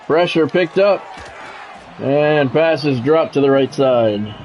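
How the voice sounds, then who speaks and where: A man's voice: fairly high pitch, expressive, slow, lo-fi sound, some chatter behind, a little hiss or hum. A man doing live play-by-play of a sports video game, switching between announcer-like calls and casual asides to viewers. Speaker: streamer and play-by-play commentator. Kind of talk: live sports game commentary mixed with chat.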